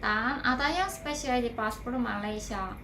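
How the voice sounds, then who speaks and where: A woman's voice: fairly high pitch, a little slow. A woman from Korea with a Korean accent, giving a scripted talk to viewers in careful, formal learner's phrasing, recorded with noise behind her. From South Korea. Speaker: video blogger, airport security worker. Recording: clear background noise.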